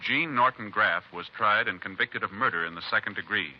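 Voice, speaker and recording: An actor as a formal, impersonal announcer, reading 1950s radio narration in a crisp, matter-of-fact way. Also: male voice, on the low side, fairly quick, over loud music, natural voice. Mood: official, matter-of-fact. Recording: dull, noisy vintage radio recording.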